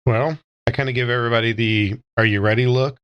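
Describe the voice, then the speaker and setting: A man's voice: mid-range voice, steady tone, quick pace, clean tone, dry recording, dull audio. A man playing in a tabletop game podcast, casually describing what his character does.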